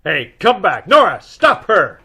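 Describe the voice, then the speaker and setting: A man playing a brisk, in-charge detective in an old-time radio comedy mystery, questioning in a businesslike way. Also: high-pitched, wildly swooping pitch, creaky, background noise, full character voice. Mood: urgent. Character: brisk, in-charge male detective, newlywed poser.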